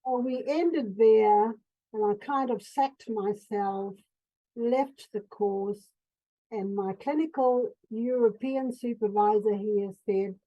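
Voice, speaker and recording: An older woman from Fiji, an author and psychotherapist, speaking in an earnest, measured way in a radio interview. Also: on the high side, mellow, highs cut off.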